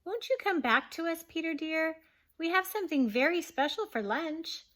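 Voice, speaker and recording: Woman reading as a gentle, coaxing storybook mother. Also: extremely high pitch, expressive, moderate pace, voice pushed up. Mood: sweetly coaxing. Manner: coaxing, kindly. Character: gentle mother of a small boy.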